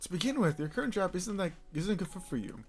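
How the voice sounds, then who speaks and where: A man voicing an upbeat, admiring young man who talks eagerly and flatters his senior. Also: high-pitched, quick pace, soft background music, pitched above the performer's own voice.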